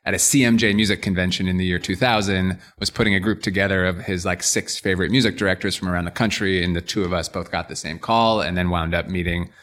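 A man's voice: low-pitched, fairly even intonation, fast talker, hardly any pauses, fairly bright tone. An American man from the New York suburbs, explaining things conversationally as a company co-founder being interviewed on a podcast. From New York suburbs, United States.

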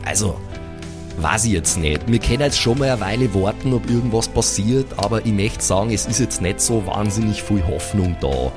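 Man playing a deep-voiced, resigned Bavarian camper. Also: expressive, moderate pace, music in the background, close to an everyday voice. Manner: colloquial, matter-of-fact, mildly scolding. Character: easygoing male festival camper, dialect-speaking, resigned and pragmatic.

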